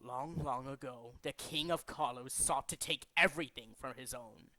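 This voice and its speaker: Male actor as pompous game villain, zealous doomsday leader; forceful, sharp tone, close dry sound. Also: mid-range voice, dry recording. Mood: solemn, brooding. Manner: deliberate, dramatic, pompous declaiming. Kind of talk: theatrical video game character demo reel.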